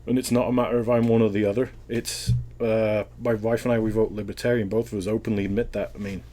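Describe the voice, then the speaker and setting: A man with a Welsh accent and a fairly low voice, speaking in a loose, thoughtful way. He wanders through his answers and restarts his sentences often, the way people do in a casual interview. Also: level tone, fast talker, warm tone. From Wales.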